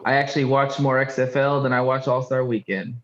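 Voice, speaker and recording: A man's voice: mid-range voice, level tone, brisk, fairly bright tone. A man chatting casually as a panelist on a sports show, heard through a dull, echoey connection.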